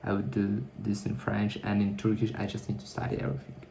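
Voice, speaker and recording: A man's voice: fairly low pitch, monotone, fast, gravelly, warm tone, a little hiss or hum. A man talking casually to camera in a diary-style vlog, rambling and correcting himself as he thinks aloud. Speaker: vlogger. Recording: echoey room.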